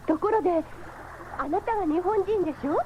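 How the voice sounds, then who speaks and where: A woman's voice: extremely high pitch, normal speed. A woman playing an alert young female cyborg scout in a 1970s radio drama, with dramatic, feminine phrasing. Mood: curious. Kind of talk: 1970s anime-style radio drama acting. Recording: dull, noisy 1979 radio recording.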